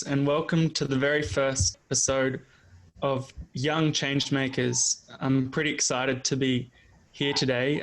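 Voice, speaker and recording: An Australian man in his twenties co-hosts a podcast. He speaks informally and a little haltingly, as if thinking aloud. His pitch stays mid-range and fairly flat. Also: rough recording. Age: 20s. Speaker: podcast co-host. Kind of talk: casual podcast introduction.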